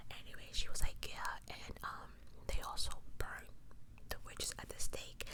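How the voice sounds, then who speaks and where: A woman whispering true-crime ASMR in a casual, chatty way, often correcting herself and adding opinionated asides. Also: moderate pace. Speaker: ASMR video host.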